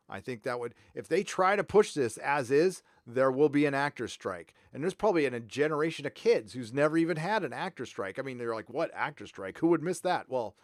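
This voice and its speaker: A middle-aged man from Southern California hosting a livestream, chatting casually and reading donations, loud and animated, swinging from mumbles to shouts. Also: medium pitch, no room sound, clean studio sound. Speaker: livestream host.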